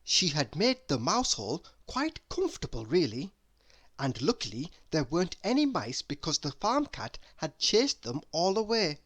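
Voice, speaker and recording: A narrator reading a children's story aloud in a deliberate, expressive storytelling manner. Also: medium pitch. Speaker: storybook reader.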